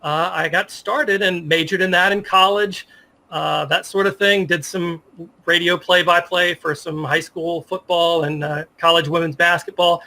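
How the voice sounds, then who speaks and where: American guy in his 50s, high bright voice, chatting enthusiastically about old memories in an interview. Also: fairly even intonation, a little slow. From Virginia, United States. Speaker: interview guest, sports media professional. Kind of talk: casual interview storytelling.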